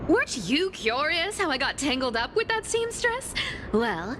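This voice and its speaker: A woman's voice: high voice, big pitch swings, normal speed, noisy background. A woman voicing a sly, playful young trickster thief in a video game, greedy and boastful, with a dramatic, teasing manner. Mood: threatening. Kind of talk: animated video game acting.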